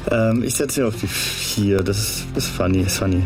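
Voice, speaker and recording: Man, low voice, playful morning radio host, faint music bed. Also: brisk, low-quality recording. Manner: playful, teasing, mixes in English words. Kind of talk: casual joking radio chat.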